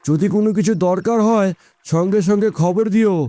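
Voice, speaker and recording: Man voicing a mellow, high-pitched village rescuer. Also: fairly quick, no room sound, faint music behind, close to an everyday voice. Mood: reassuring. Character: helpful, practical young village rescuer man. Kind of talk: animated moral story dialogue.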